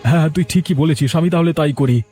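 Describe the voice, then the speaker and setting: An earnest, resolute village man acted by a man who champions the poor in a cartoon. His voice sits a little high and is emphatic, over faint music. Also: fairly quick, lightly acted. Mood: worried. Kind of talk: cartoon dialogue.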